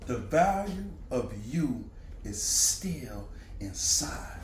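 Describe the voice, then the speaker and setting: A man telling a motivational story in an animated, repetitive way, sometimes bursting into shouts. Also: mid-range voice, very slow. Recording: echoey room, distant mic, faint hiss.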